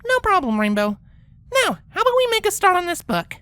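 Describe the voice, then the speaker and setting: A woman's voice: very high voice, sing-song, a little fast, fairly bright tone, no echo, full character voice. A woman voicing a polite, bookish young female cartoon pony princess, speaking with patient, pedantic precision. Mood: friendly, curious. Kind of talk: character acting in a fan-fiction story reading.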